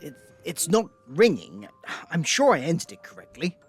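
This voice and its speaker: A wealthy, self-assured patriarch, played by a man, commanding and a touch smug. His pitch rises and falls in a lively way. Music sits clearly underneath. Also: normal speed, full character voice. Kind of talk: fan audio drama acting. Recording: music bed under the dialogue.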